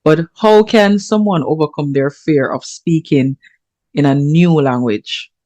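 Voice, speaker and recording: A man's voice: on the high side, measured pace, dark tone. A man hosting a podcast, listening attentively, affirming often and asking interview questions. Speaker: podcast host. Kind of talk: interviewing, casual chat.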